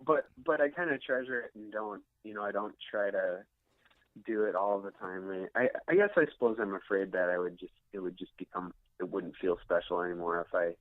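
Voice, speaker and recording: An American man speaks as a guest in a casual interview. His voice sits low and the sound is dry. He talks reflectively, often pausing and restarting as he looks for the right words. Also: no echo, phone-quality sound. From Minnesota, United States. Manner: reflective, halting, searching for words.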